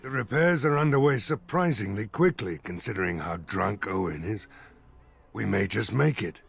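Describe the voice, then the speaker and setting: A man's voice: lowish voice, animated, normal speed, vocal fry, breathy edge, full character voice. An actor playing an earnest village mayor rallying his militia in a fantasy video game. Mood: relieved, hopeful. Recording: game audio with music behind.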